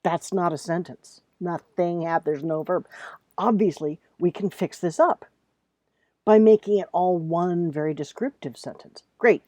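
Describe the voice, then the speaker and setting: A woman giving a casual, conversational writing lesson, reading with deliberate emphasis and commenting plainly. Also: fairly low pitch, mellow, dry recording. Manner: emphatic, reads examples aloud deliberately, then comments plainly; informal, conversational. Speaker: writing teacher. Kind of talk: casual instructional talk to students.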